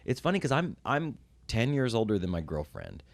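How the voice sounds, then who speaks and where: A man's voice: fairly low pitch, wildly swooping pitch, moderate pace, creaky, dry recording. A man in his late thirties chatting casually as a podcast host, in a joking, digressive and sweary way. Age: late 30s.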